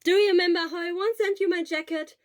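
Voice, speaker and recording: A woman voicing a cheerful young male hero teacher who talks in a raised, bright, casual way. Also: extremely high pitch, steady tone, fast talker, no echo, studio quality, voice pushed up. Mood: nostalgic.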